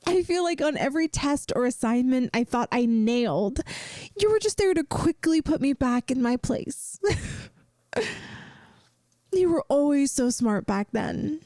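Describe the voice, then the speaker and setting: Caring young woman played by an actress, best friend secretly in love; slow, close and dry, soothing ASMR roleplay delivery. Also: high-pitched, expressive, no echo. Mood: fond, nostalgic. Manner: gentle, soothing, playful, earnest. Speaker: audio roleplay performer. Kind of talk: soft intimate ASMR roleplay acting.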